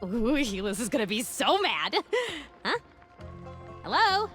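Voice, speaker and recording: A young heroine in a comedic animated game. Her voice is high and lively, moving between playful sarcasm and plucky resolve, with faint music behind it. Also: a little slow. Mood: teasing.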